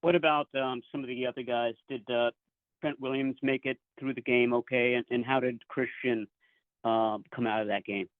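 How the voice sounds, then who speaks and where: A man working as a reporter, putting questions haltingly on a conference call. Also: medium pitch, steady tone, no room sound. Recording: dry, phone-like conference line.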